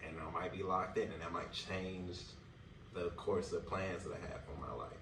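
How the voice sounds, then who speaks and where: A man's voice: low-pitched, flat delivery, normal speed, creaky. A man around thirty co-hosting a podcast, talking things through in casual chat with loose slang and many fillers. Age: 30s. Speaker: podcast co-host. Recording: echoey room, distant mic, noisy background.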